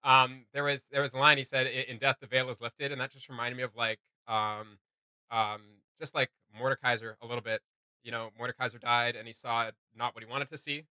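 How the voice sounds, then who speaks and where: A man hosting a reaction video, giving casual, animated fan commentary. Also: mid-range voice, brisk, clear, resonant tone, no room sound.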